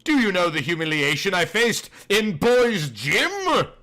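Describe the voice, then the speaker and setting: Comic audiobook: male reader as an outraged soap-opera husband, self-pitying, declamatory, high-strung and bright. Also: sing-song, unhurried, full character voice. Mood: anguished outrage. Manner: overwrought, declamatory. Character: melodramatic, outraged young married man, self-pitying soap hero.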